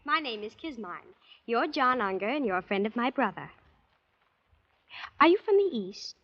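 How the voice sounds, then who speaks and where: A sheltered rich teenage girl in a vintage radio play. Her voice is fairly high and girlish, with a prim, matter-of-fact frankness. The recording is dull and muffled. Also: very animated, normal speed. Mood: curious, friendly. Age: young adult. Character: pampered, naive, forthright rich teenage girl. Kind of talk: 1940s radio acting.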